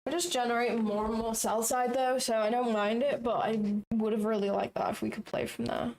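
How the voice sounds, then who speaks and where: A young woman with a raspy voice, chatting casually and thinking aloud as she hosts a live trading stream. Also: on the high side, fairly even intonation, brisk, a little mellow. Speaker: trading livestream host.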